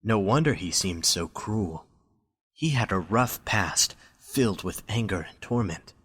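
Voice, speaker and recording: A man's voice: fairly low pitch, animated, measured pace, fairly bright tone, breathy edge. A man reading scripted story narration as the narrator of an amateur audio drama.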